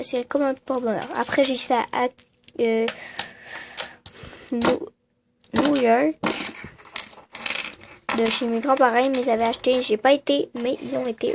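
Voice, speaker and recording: A high, light female voice, a little stuffed up from a cold. She talks casually and hesitantly, piling on fillers as she walks through her room on a handheld camera. A faint hiss sits under the recording. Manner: hesitant, many fillers and trailing voilà. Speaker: vlogger. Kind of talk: casual room-tour vlog. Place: bedroom at home.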